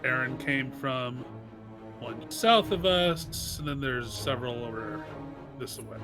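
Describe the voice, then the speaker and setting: A man's voice: mid-range voice, slow pace. A man talking casually as a player in a group tabletop game. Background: loud music.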